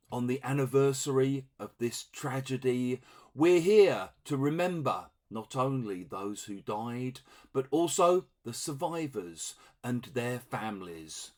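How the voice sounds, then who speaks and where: A middle-aged man explaining vocabulary to learners in a relaxed, conversational way, acting out short example dialogues. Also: medium pitch. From England. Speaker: English teacher.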